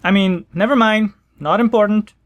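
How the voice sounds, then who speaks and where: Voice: mid-range voice, moderate pace, dry recording, highs cut off, natural voice. A performer voicing a friendly, effusive, slightly flustered priest in an audiobook drama.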